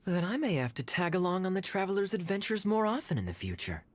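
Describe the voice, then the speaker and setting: Suave, animated young cavalry captain. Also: male voice, sits high, fast. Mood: playful. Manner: smooth, wry, theatrically courteous. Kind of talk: video game character voice acting.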